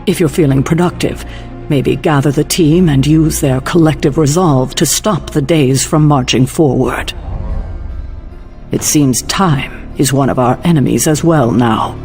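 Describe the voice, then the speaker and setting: A man's voice: on the high side, measured pace, a little mellow, soft background music. A man playing a stern, protective middle-aged mentor with a sardonic, commanding manner in a video game. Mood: earnest, weary. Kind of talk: video game cutscene acting.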